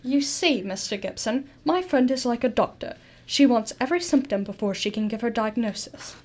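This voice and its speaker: A woman's voice: on the high side, animated, moderate pace, darkish tone. A woman acting a genial, loyal doctor and detective's companion in a radio drama, polite and old-fashioned.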